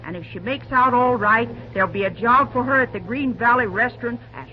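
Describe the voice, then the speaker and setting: An actress playing a kindly, brisk woman who runs a railroad diner, with homely exclamations and a motherly manner, in 1940s radio acting. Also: on the high side, close to an everyday voice. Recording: dull old radio recording with hiss.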